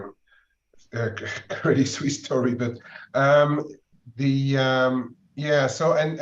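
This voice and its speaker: A man co-hosting a markets chat, talking haltingly with many fillers and restarts. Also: medium pitch, far from the mic, muffled recording. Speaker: markets show co-host.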